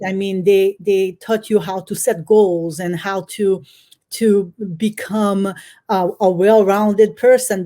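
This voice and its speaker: A middle-aged woman from Haiti, an author answering interview questions earnestly and thoughtfully, pausing often with ums and restarts. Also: medium pitch, warm tone. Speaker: author guest.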